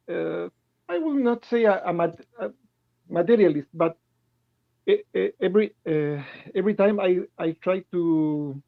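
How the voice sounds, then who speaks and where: A man from Chile with a Chilean Spanish accent, calling in to ask a question in halting English, full of restarts and nervous laughs. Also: high-pitched, dry recording. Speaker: caller. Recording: tinny phone-like call line.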